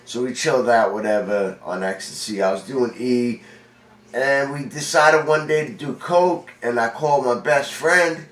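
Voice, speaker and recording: A man's voice: medium pitch, a little slow, fairly bright tone. A forty-year-old man from Long Island, congested, telling his own story in a rambling, profane, streetwise way. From Long Island, New York. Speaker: vlogger. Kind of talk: casual storytelling monologue. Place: indoor room with a window. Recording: roomy, distant from mic.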